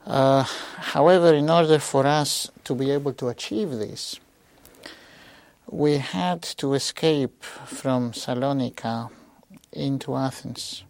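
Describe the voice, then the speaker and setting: A man in his sixties from Greece, giving a halting oral history testimony with many pauses and fillers. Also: mid-range voice, darkish tone, dry recording. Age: 60s. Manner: slow, hesitant, with frequent pauses and fillers. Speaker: interviewee.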